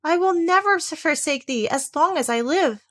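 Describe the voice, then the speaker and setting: A woman's voice: high voice, expressive, moderate pace, fairly bright tone, dry recording, clean studio sound, pitched above the performer's own voice. A woman voicing a loyal, devoted little foundling boy in a fairy tale, speaking simply and earnestly.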